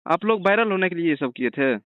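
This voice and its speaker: A man's voice: high-pitched, lively intonation, a little fast, no room sound. A man conducting a blunt, accusing interview over the phone, badgering with pointed questions. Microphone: phone call. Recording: narrow tinny phone-line call.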